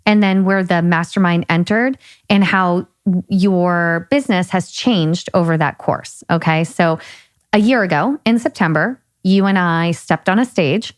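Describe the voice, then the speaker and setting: A woman's voice: fairly low pitch, measured pace, dry recording, clean studio sound. A woman co-hosting a podcast in casual chat, chiming in and steering the conversation. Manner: interjecting, guiding the conversation. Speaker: podcast co-host.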